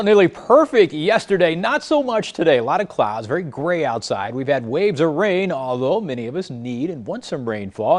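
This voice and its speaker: An American man presents a local TV weather forecast in a conversational, folksy way. His voice is a bit high for a man and moves up and down with a very lively melody. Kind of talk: ad-libbed weather forecast commentary. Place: TV news studio.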